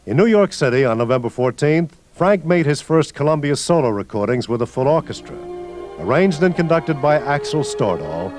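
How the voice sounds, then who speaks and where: A man hosting and narrating a scripted radio tribute in a measured announcer manner. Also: on the high side, lively intonation, darkish tone, soft background music. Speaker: radio documentary narrator and host.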